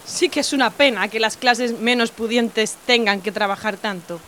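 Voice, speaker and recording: A woman's voice: on the high side, normal speed, a bit bright, a little hiss or hum, natural voice. An actress playing a patronising, self-assured middle-aged mother of the ruling class in an audio drama. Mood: impatient, superior. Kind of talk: scripted audio drama acting.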